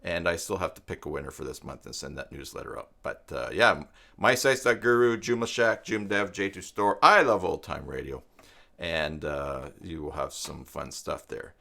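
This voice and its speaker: A man's voice: sits low, normal speed, creaky, pushed and bright. A man in his fifties hosting a live-stream tutorial in a casual, conversational way, wandering into asides. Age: 50s.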